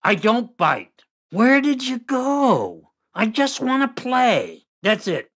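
An actor playing a foul-mouthed, short-tempered killer doll in a video game, with a raspy nasal snarl and mock-playful menace. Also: male voice, extremely high pitch, sing-song, slow, fairly bright tone, full character voice. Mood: teasing menace. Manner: menacing mock-playful taunting, heavy swearing. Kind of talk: video game character voice lines.

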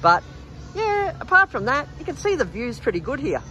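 Higher-pitched Australian man, casual vlogging. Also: wildly swooping pitch, moderate pace, bright, forceful tone, rough recording. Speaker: travel vlogger. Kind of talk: casual walking vlog narration. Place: outdoors in an urban riverside park. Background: background music, outdoor city sounds.

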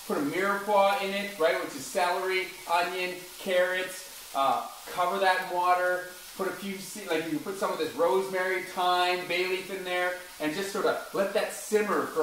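A man's voice: sits high, a bit bright. A man hosting a home cooking video in a kitchen, chatting through each step and talking to his toddler son, recorded from a camera some distance away. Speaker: home cooking show host. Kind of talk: casual cooking demonstration. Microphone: camera mic at a distance. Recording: very echoey kitchen with faint noise.

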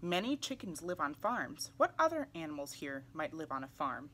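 A young adult woman reading a children's picture book aloud in an animated way, putting questions to young listeners. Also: sits low, moderate pace, slight background noise. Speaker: read-aloud storyteller for children. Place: small indoor room, seated in armchair.

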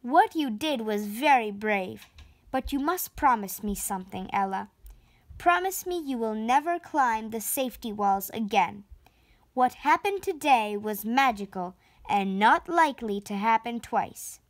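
A young girl voicing a loving, concerned mother elephant in a storybook, earnest and gently cautioning. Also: on the high side, expressive, a little slow, no room sound. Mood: concerned, proud.

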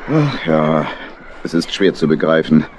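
A man's voice: a bass voice, sing-song, measured pace, noisy background, exaggerated voice. A man playing a remorseful, worried badger uncle in a children's audio play, sorrowful and sighing. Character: grown male badger uncle, remorseful, worried, good-hearted.

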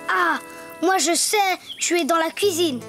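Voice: extremely high pitch, measured pace, pushed and bright, exaggerated voice. An actor voicing an eager, playful, imaginative little boy donkey in a children's cartoon. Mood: curious, cheerful. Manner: playful, exclaiming. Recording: music behind the voice.

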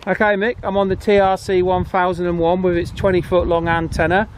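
Casual male vlogger, forties, higher-pitched voice, informal, clear outdoor background noise. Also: flat delivery, fairly quick. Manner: chatty, informal. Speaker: presenter testing handheld radios. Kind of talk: casual hands-on review. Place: open grassy field outdoors. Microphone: camera held at arm's length. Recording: noisy outdoor recording with wind.

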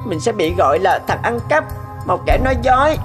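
A woman's voice: medium pitch, big pitch swings, a little fast, close to an everyday voice. Woman voicing an anxious, earnest cartoon schoolboy. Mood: guilty, fearful. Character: anxious schoolboys in a moral tale. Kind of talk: cartoon dubbing. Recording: loud guitar music behind.

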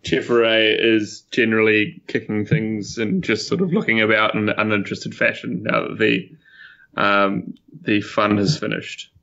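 A deadpan man with a New Zealand accent playing in a tabletop game. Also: fairly low pitch, unhurried, gravelly, lo-fi sound.

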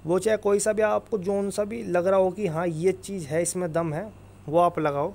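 A man's voice: sits high, fairly even intonation, moderate pace, gravelly, a little mellow. A man giving an informal, emphatic explanation as an exam-prep presenter, often repeating tag phrases. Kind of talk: informal explainer over screen recording.

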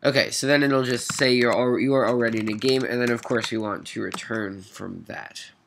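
A man's voice: medium pitch, fairly even intonation, fairly quick, gravelly, fairly bright tone. A man with a nasal, stuffed-up voice from a cold, giving an informal coding tutorial and thinking aloud as he goes. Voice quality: nasal, congested. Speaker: programming tutorial host. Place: at a computer, screen recording voice-over. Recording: noisy mic with keyboard clicks. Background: faint noise, typing and clicks.

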